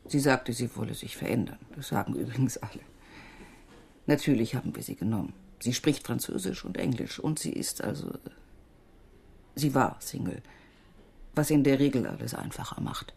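Tired evasive office manager in a radio crime play, husky creaky voice, trails off. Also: female voice, extremely low pitch, lively intonation, normal speed, darkish tone, heavily acted. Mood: guarded. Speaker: aid organisation staffer. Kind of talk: German radio crime drama acting.

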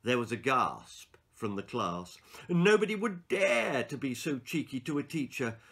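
A man in his sixties reading a children's story aloud in an expressive, theatrical and emphatic way. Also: medium pitch, measured pace, slightly rough. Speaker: author reading his own children's stories. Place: small book-lined room indoors.

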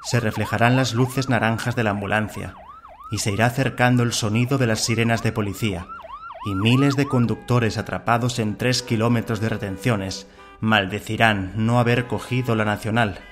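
A man's voice: fairly low pitch, steady tone, moderate pace, over music. A man hosting a fiction podcast and narrating in a scripted style. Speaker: podcast host and story narrator.

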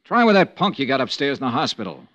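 An actor playing a tough, grieving, world-weary private investigator in a hard-boiled old radio drama, speaking tersely. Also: male voice, medium pitch, big pitch swings, fast talker, fairly bright tone, a little hiss or hum. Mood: terse, angry. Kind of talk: 1940s-50s radio detective acting with first-person narration. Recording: dull old radio recording, music behind.

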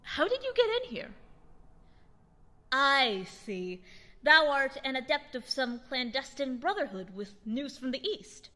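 A woman's voice: sits high, measured pace, exaggerated voice. A woman playing a pompous boy adventurer. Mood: grandiose. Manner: grandiloquent, archaic speech, theatrical swings from loud to hushed. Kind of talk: old-fashioned radio drama acting. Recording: steady background noise.

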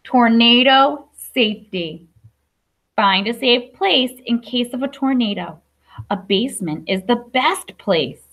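A woman's voice: on the high side, animated, slow pace, fairly bright tone. A young adult woman teacher reading a picture book aloud to young children, explaining simply with questions and asides. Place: classroom.

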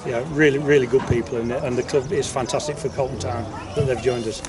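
A man's voice: medium pitch, fairly even intonation, fast, a loud crowd. A man, a football manager giving an informal interview, often repeating his words. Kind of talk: informal post-match interview. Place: outdoors on a football pitch. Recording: distant outdoor mic, clear background noise.

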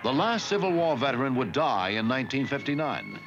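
A man's voice: medium pitch, big pitch swings, a little fast, background noise. A man reading scripted documentary newsreel narration. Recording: slightly dull sound under loud music. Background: loud music.

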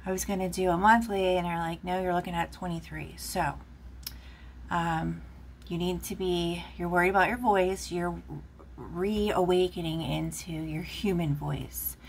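A woman's voice: lowish voice, steady tone, unhurried, vocal fry, slight background noise. A woman giving a casual, rambling tarot reading, chatting with lots of fillers while recorded off camera at a table indoors. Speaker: tarot reader.

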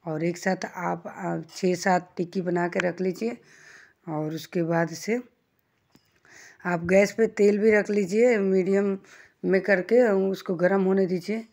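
A woman's voice: on the low side, steady tone, slow pace, darkish tone. A woman hosting a home cooking channel, giving chatty step-by-step instructions as she cooks in her kitchen. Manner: chatty and homely, explaining as she works.

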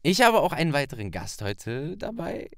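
Casting: male podcast host, animated intonation, casual interviewing, dry recording. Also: a little high, moderate pace, no echo.

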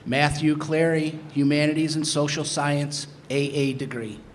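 Guy announcing grads in an auditorium, slightly high voice, formal n deliberate, some background noise. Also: fairly even intonation. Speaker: announcer of graduates' names. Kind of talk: formal roll call of names. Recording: clear background noise, hall ambience.

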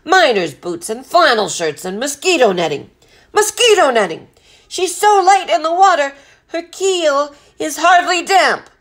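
A blustering sea captain played by a woman narrator from a storybook, loud and growling. He swings widely in pitch and barks his threats with an explosive, hot temper. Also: very animated, measured pace, exaggerated voice. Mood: irritable bluster. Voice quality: roaring, growling.

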